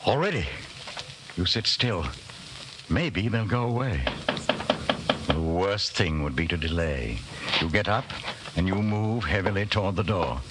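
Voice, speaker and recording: An actor playing a grave, intense narrator of a dystopian tale in a 1940s radio drama, telling it with suspense. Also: male voice, low voice, lively intonation, unhurried, pauses often. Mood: tense. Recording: slightly noisy 1940s radio recording.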